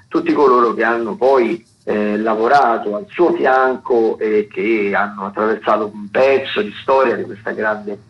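A man's voice: lowish voice, muffled recording. A man working as a theatre critic gives casual radio commentary, improvising loosely with many hesitations. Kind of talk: casual spoken listings commentary.

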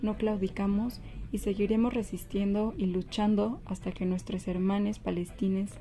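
A woman reading a measured, solemn spoken-word manifesto over faint music. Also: medium pitch, flat delivery, warm tone.

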